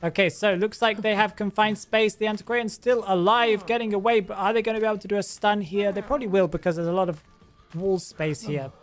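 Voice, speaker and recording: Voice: medium pitch, fairly even intonation, fast talker, soft background music. A host giving casual, chatty commentary on gameplay, thinking aloud and often trailing off to restart a sentence. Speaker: game commentator and channel host.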